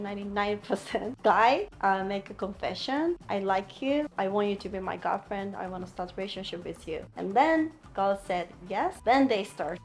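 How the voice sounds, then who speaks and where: A young Japanese woman with a Japanese accent, speaking English slowly and carefully in a casual studio reaction chat. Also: mid-range voice. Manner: slow careful non-native phrasing. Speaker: panel guest.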